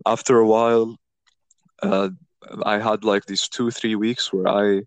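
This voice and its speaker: A man with a lowish voice hosts a relaxed conversation, thinking aloud as he goes. He circles back on his words often and restarts his sentences. The recording sounds dry and close. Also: a little slow, no room sound. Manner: rambling, many restarts, reflective. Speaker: podcast host. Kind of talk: casual conversation.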